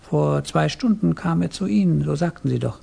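Very gravelly menacing police chief. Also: male voice, a little high, normal speed, mellow, heavily acted. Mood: quietly menacing. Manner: formal, probing, controlled. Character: courteous, coldly menacing male Tsarist police chief. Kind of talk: German radio play acting.

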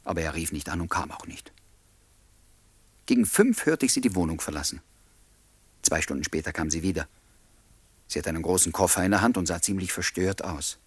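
Husky low-voiced lovelorn writer. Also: male voice, animated, fairly quick, long pauses, no room sound. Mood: wistful, confiding. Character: lovelorn male writer, earnest, easily irritated, narrating his story. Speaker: narrator and lead character. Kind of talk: radio play, confiding first-person narration and dialogue.

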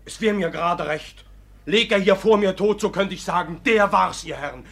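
An actor playing a hot-headed young farmhand in a 1950s radio play, blustering and defiant, his voice husky. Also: high voice, moderate pace, a bit bright, heavily acted.

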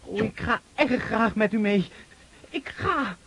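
An actor playing a naive, brave young soldier in a radio drama, earnest and eagerly enthusiastic. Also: male voice, high voice, lively intonation, slow pace, fairly bright tone, breathy edge, heavily acted. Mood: insistent, eager.

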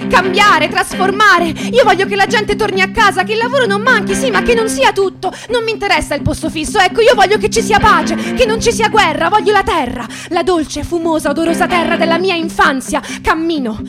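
An actress playing an impassioned young female protester in a radio drama, indignant and idealistic, in emphatic, clipped, insistent phrases. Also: very high voice. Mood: yearning, idealistic. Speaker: stage actress. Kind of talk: theatrical radio drama monologue and fragmented spoken-word recitation.

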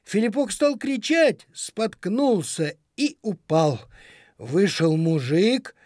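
A woman narrating a children's story in an expressive, dramatic storytelling manner. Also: mid-range voice, slow pace, dry recording, clean studio sound. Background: music.

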